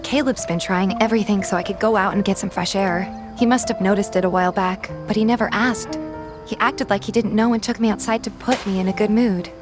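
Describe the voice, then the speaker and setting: A soft, earnest young woman of average pitch, acted by a female fan dubber, the shy heroine of an otome game. She tells her own feelings in a tender-hearted way over clearly audible music. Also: fairly even intonation, fairly quick, close to an everyday voice. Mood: pensive narration. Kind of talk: first-person narration and dialogue in a game fan dub.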